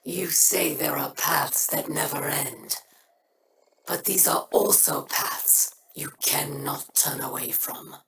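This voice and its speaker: A stern alien leader speaks gravely and slowly in a low voice. The game line sounds distant with a clear layer of noise. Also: female voice, a bit bright, heavily acted. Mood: solemn. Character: stern alien house leader, cold and solemn.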